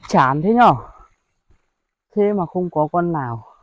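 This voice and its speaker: A man's voice: high-pitched, expressive, slow pace, dry recording, highs cut off. Chatty young guy, phone vlog. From Vietnam. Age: young adult. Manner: chatty, addresses viewers as brothers, frequent exclamations. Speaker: vlogger. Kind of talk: casual outdoor vlog. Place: outdoors among rice paddies and hills. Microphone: phone held at arm's length.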